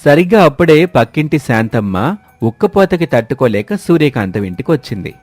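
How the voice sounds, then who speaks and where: A man's voice: medium pitch, very animated, fairly quick, darkish tone, dry recording, faint music behind. A man narrating a scripted cartoon story as its storyteller.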